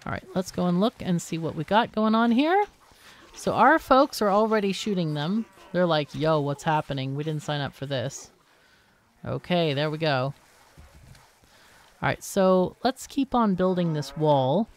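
Unhurried woman thinking aloud while gaming. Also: on the low side, animated. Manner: thinks aloud, asks herself questions. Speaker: gaming video host. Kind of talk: casual unscripted game commentary.

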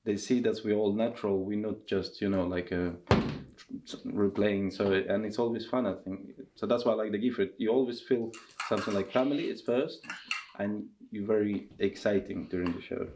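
A man in his thirties with a Russian accent, talking casually in halting English during a seated interview in a costume workshop. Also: on the low side, noisy background. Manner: halting non-native English with restarts. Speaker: circus performer and tent master.

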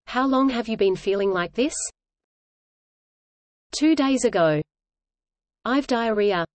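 A woman reading an English lesson dialogue aloud in a measured, even way, repeating each line for learners. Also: fairly high pitch, no room sound.

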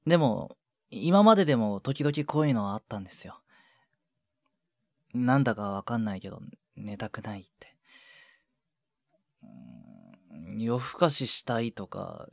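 A man playing a shy junior boyfriend in an intimate ASMR voice drama, speaking softly and hesitantly with breathy murmurs and casual politeness. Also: medium pitch, dry recording, clean studio sound, exaggerated voice. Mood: hesitant, pensive. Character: shy younger junior boyfriend, awkward at showing affection. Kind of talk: intimate ASMR situation voice drama, one side of a phone call. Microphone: close, dry microphone.

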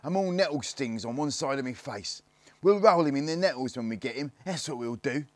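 A man voicing the eldest boy of a rough gang in a storybook, threatening and slangy. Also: mid-range voice, expressive, brisk, darkish tone, no room sound, voice pushed up, natural voice.

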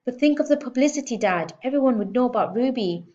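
A woman voicing an eager, determined young girl who pleads excitedly with her father. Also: a little high, moderate pace, muffled recording, pitched above the performer's own voice, close to an everyday voice.

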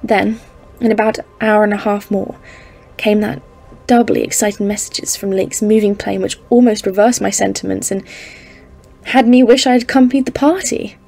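A woman playing a cautious, stern geologist leading an expedition in an audio drama, speaking in a formal, measured, literary way. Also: medium pitch, steady tone, creaky, mellow, breathy edge, soft background music. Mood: grave, reflective. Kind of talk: dramatised narration in an audio play.

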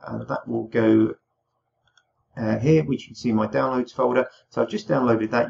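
A young man, slightly hoarse from a cold, talking through a screen-share tutorial in a relaxed way with many ums and uhs. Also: on the low side. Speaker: crypto tutorial host. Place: small room indoors. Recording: dull lo-fi home recording.

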